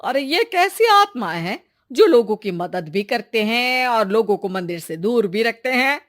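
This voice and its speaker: A curious, helpful village woman voiced by a woman in a cartoon folk story. She chats in homely, colloquial words, in a high voice that rises and falls a lot. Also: big pitch swings, unhurried, a bit bright. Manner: inquisitive, colloquial. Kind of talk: cartoon moral-story acting.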